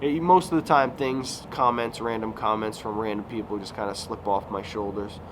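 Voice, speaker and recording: A man's voice: on the low side, steady tone, normal speed. Man, thirties, rambling vlogger, lots of "like" and restarts, noisy outdoor recording with passing traffic. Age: 30s. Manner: chatty, rambling, with frequent fillers and self-corrections. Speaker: YouTuber. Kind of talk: casual rambling vlog talk. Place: outdoor recreation area at a resort.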